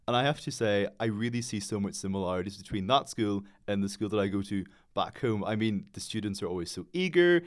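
Young northern irish guy, upbeat and friendly, kinda low voice, chatting as a radio guest. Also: animated, fairly quick, few pauses. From Northern Ireland. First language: English. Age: young adult. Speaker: radio guest, student teacher.

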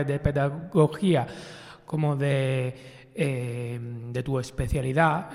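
A young Italian-accented man answers in an interview at an unhurried pace. He is hesitant and measured, and his voice carries in a reverberant hall. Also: a little high, a little mellow. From Italy. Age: young adult. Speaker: student teacher, interview guest. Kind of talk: casual spoken interview.

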